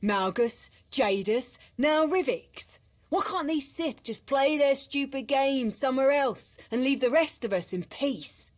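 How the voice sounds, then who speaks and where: A woman's voice: high voice, expressive, a little slow. Wry, weary female military officer. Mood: exasperated. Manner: wry, grumbling, informal. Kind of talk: video game cutscene acting.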